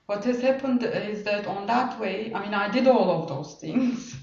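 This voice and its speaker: A woman's voice: medium pitch, normal speed, darkish tone. A middle-aged woman from Turkey with a Turkish accent, telling her own story conversationally as an interview guest. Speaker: podcast guest. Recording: roomy, distant, muffled mic.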